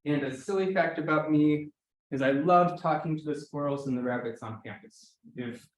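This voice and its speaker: A man's voice: on the high side, moderate pace, a little hiss or hum. A man giving an informal, loosely worded introductory talk to students as the organizer of a summer school. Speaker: assistant professor leading a summer school. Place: indoor lecture room. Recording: echoey room.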